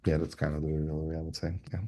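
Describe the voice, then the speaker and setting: Low mellow-voiced man from Slovakia. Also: completely flat delivery. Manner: halting, many restarts and fillers. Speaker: voice agent platform lead. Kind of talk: casual panel discussion.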